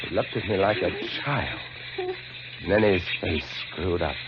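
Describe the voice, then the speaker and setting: An actor narrating as a lighthouse keeper in a 1950s radio drama, in an intense, hushed storytelling manner. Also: male voice, sits low, animated, unhurried, heavy background noise. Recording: muffled old radio broadcast with loud music.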